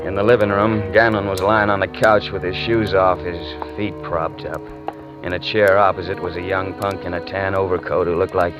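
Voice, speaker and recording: A man's voice: low-pitched, lightly acted. An actor playing a tough, wisecracking young man who narrates his story in a 1940s radio drama, with clipped, sardonic delivery. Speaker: hard-boiled narrator and lead. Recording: dull old radio broadcast with music.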